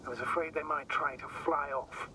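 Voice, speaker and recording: An actor playing a composed, dry space mission commander in a video game, talking formally through a radio filter. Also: male voice, a little high, steady tone, a little fast, slight background noise. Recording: dull radio link, loud music behind.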